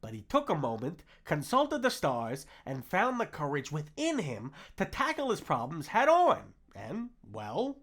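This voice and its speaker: Wisecracking meerkat sidekick, nasal and forceful, lively pitch swings, wry pep-talker for a cartoon-style comfort roleplay. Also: male voice, measured pace, hardly any pauses, exaggerated voice. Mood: storytelling. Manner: wry, pep-talking, streetwise. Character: fast-talking, wisecracking meerkat sidekick, exasperated but caring. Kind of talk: comforting character roleplay with impressions.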